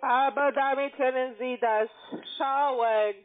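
A woman with a foreign accent and non-native, halting phrasing, mumbling evasively through a phone sales call. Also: high-pitched, fairly even intonation. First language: not German. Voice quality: mumbled, indistinct. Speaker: telemarketing caller pitching investments. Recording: muffled, band-limited phone line.

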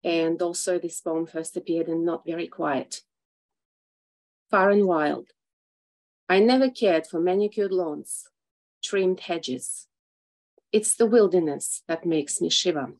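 A woman reads poetry on a video call. She speaks slowly, in a slightly low voice. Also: darkish tone. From Russia. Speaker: poet at an open mic. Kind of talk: poetry reading with a short spoken introduction. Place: small indoor room.

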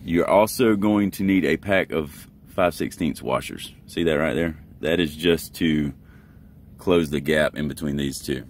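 A man's voice: very deep voice, measured pace. A man in his thirties, a truck driver, talking in a laid-back, folksy way in a casual how-to vlog. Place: inside a truck cab.

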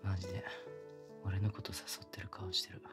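A man's voice: sits low, monotone, brisk, natural voice. A man playing a pushy, affectionate younger male coworker in love, murmuring teasingly in an intimate ASMR boyfriend roleplay, often close to a whisper. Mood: teasing, tender. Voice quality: breathy, often dropping to a whisper. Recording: close binaural recording with background music.

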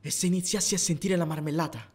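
Man playing an anxious, fast-talking young hypochondriac. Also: a little high, steady tone, breathy edge. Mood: anxious, insistent. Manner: earnest, worried. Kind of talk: comedy sketch dubbing.

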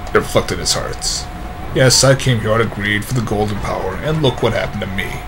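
A man's voice: lowish voice, a little fast, background noise, natural voice. A man voicing a regretful cursed creature in a video game, reading in a subdued, explanatory way. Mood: rueful. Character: regretful cursed creature stuck in bizarre shape. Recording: game music behind the voice.

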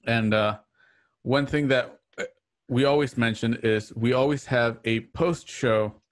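A man's voice: medium pitch, a little slow. A man hosting an online radio drama show, chatting casually as he signs off on a livestream. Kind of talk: casual livestream sign-off chat. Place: indoors at home on a video call.

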